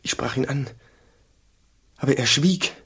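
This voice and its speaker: An actor playing a humble, earnest monk in a radio drama, deferential and hesitant, with an agitated intensity. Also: male voice, mid-range voice, measured pace, sharp tone, slightly rough, heavily acted. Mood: urgent, awed. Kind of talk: German radio play acting.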